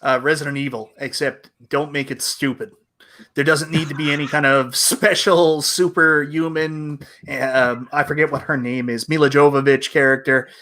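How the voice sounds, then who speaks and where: A man's voice: fairly high pitch, fairly even intonation, normal speed, sharp tone. A man chatting casually and jokingly as a panelist on a gaming talk show.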